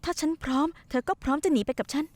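A woman's voice: high-pitched, fast talker, dry recording, close to an everyday voice. A woman dubbing a scheming young wife in a television drama, who talks in a coaxing, pushy and manipulative way. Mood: insistent. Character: scheming young wife plotting to run away. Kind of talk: dubbed television drama acting.

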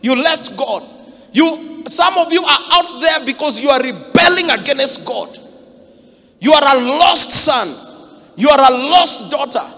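A Kenyan man in his thirties preaches with a very high, sharp, forceful voice, driving home each point with shouts. He speaks into a handheld mic, and his voice rings with the long reverb of a big church hall. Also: animated, a little slow. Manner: fiery, shouting emphasis, mixes Swahili quotes. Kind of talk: sermon.

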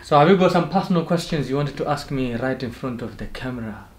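A man's voice: mid-range voice, quick pace. A young man hosting a casual sibling vlog in a bedroom, teasing and brash, filmed by a camera a few feet away. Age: young adult. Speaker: vlogger, host of the channel. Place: bedroom, indoors. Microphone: camera on a tripod, a few feet away. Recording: echoey bedroom, faint hiss.

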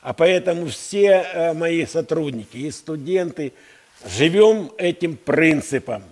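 A man in his sixties with a husky voice, talking at a slow, deliberate rate. He is an interview guest in a TV studio, speaking earnestly and emphatically, with halting, speech-like phrasing. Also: fairly high pitch, lively intonation. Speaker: university rector, interview guest. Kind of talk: earnest patriotic interview talk. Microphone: headset.

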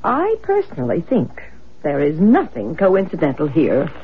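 A woman's voice: low-pitched, huge pitch swings, normal speed, husky, heavily acted. A woman playing an earnest, insistent mother-in-law fascinated by spirits, in vintage radio drama style. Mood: persuasive. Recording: muffled lo-fi old radio broadcast.

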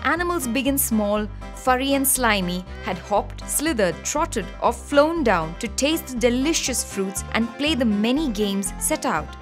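A woman narrating a scripted children's story in an expressive, clearly enunciated storytelling manner. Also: on the high side, unhurried, few pauses, soft background music.

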